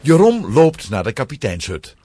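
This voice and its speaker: A man's voice: mid-range voice, huge pitch swings, moderate pace, warm tone, slightly rough. A man narrating a children's audio play in a scripted storytelling style.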